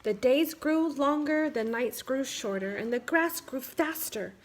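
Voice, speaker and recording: High-voiced woman reading a picture book. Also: a little slow, a little hiss or hum. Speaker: children's read-aloud storyteller. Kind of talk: picture-book read-aloud narration.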